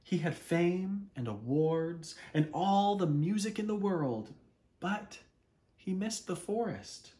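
A man in his thirties reading a children's picture book aloud expressively, with dramatic, deliberate pauses. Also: sits high, lively intonation, mellow. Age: 30s. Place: small living room at home, indoors.

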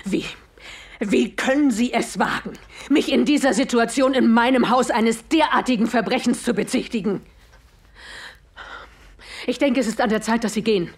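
An actress playing a haughty, indignant widow in an audio drama, speaking formally and with control. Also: female voice, fairly high pitch, normal speed, long pauses, lightly acted.

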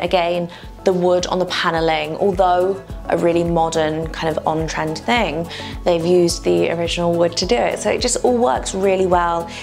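A young woman walks viewers through a small cottage, her low voice edged with a gravelly fry. She gushes enthusiastically about every room in a casual, chatty way. A lapel mic keeps her close, with faint music playing underneath. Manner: enthusiastic, gushing, lots of "wonderful". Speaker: house tour presenter. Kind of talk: casual walk-through house tour. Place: small cottage rooms and brick courtyard.

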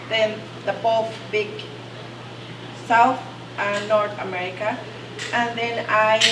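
A middle-aged woman from Costa Rica with a Costa Rican Spanish accent who speaks English as a second language. She gives a halting talk at an exhibition opening and searches for her words. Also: on the high side. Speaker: art teacher, exhibiting artist. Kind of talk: informal speech at an exhibition opening. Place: bright gallery room, indoors. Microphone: stand mic, recorded by a camera from a distance. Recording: echoey gallery from a distance, noisy.

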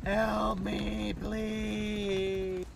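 Gravelly high-voiced gamer, slow. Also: male voice, little pitch movement, a little hiss or hum. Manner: slow, pleading. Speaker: player. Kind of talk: gaming voice chat.

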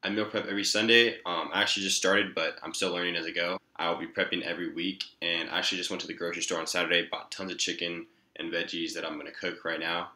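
Laid-back young man, low voice, echoey kitchen. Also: level tone, fast, hardly any pauses, sharp tone. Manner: laid-back, filler-heavy talk with many likes and ums. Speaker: fitness vlogger. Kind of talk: casual vlog chat. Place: small home kitchen. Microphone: camera mic at arm's length.

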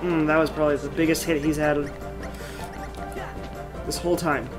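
A man's voice: fairly high pitch, flat delivery, moderate pace. A man giving casual, playful commentary while he plays a video game. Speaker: gamer commentating on playthrough. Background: game music.

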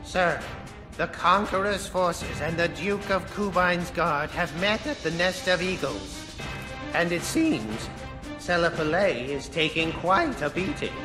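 A man's voice: on the high side, animated, a little slow. An actor playing a formal military aide reporting to his lord in a video game drama. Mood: grave. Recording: reverberant hall, loud music behind.

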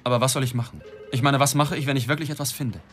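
An actor playing a troubled, stubborn newspaper reporter in a radio drama, dry and weary in manner. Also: male voice, medium pitch, steady tone, very fast, faint music behind, lightly acted. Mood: uneasy. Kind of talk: radio drama acting with inner narration.

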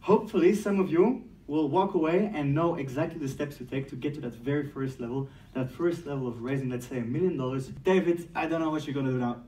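A man in his thirties from Belgium with a Belgian French accent, giving a playful, energetic startup talk on stage and acting out dialogues. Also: on the high side, quick pace, hardly any pauses. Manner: playful, acts out dialogues, laughs at own jokes. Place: large dark event room on a stage. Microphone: distant mic, speaker far from camera. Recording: roomy sound from a distant camera.

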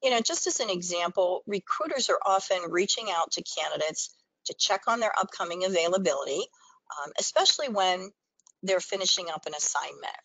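A woman's voice: lowish voice, lively intonation, fairly quick, a bit bright, no room sound, low-quality recording. A woman presenting a training webinar, explaining things step by step in an instructional screen-share style.

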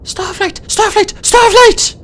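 A narrator voicing an anxious young female dragon in an audiobook, squeaky and urgent. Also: lively intonation, normal speed. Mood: panicked. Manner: breathless, urgent.

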